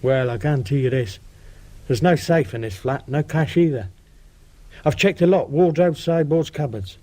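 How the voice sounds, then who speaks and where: A man's voice: a little high, normal speed, warm tone, natural voice. A man acting in a radio drama as a cautious, matter-of-fact burglar for hire, giving terse, bossy instructions in colloquial speech. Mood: businesslike, calm.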